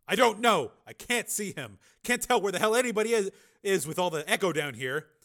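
A man's voice: high voice, expressive, brisk, fairly bright tone, voice pushed up. A man voicing a hard-nosed male riot squad lieutenant who speaks grimly in clipped commands.

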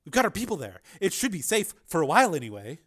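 Uneasy male passenger, voiced by a narrator: lifted pitch, husky, polite, plain-spoken and inquiring. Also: wildly swooping pitch, fast talker, a bit bright, exaggerated voice. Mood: urgent.

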